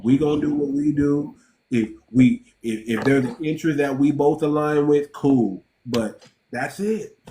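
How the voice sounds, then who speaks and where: Man, podcast host, blunt, emphatic, mellow tone. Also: on the high side, unhurried, a little hiss or hum, low-quality recording. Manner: emphatic, blunt, repeats words when starting a point. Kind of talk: casual podcast discussion.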